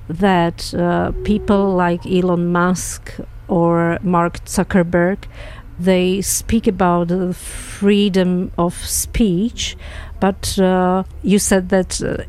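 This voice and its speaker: A woman hosting a radio discussion, putting measured, clear questions to her guests and reading scripted narration. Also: fairly low pitch, a little mellow. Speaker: radio host and moderator. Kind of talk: panel moderation and scripted news narration.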